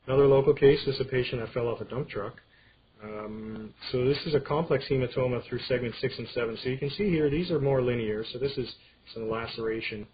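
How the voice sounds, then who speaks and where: A man giving an informal medical slide lecture, explaining things in a halting way. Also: medium pitch. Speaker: radiology lecturer. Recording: dull screen-capture audio with clear background noise.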